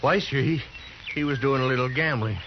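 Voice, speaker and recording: A man's voice: medium pitch, brisk, lightly acted. An actor playing a spiteful, agitated townsman in an old-time radio western, stammering and folksy in his accusations. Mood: agitated, accusing. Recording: muffled, noisy old radio recording.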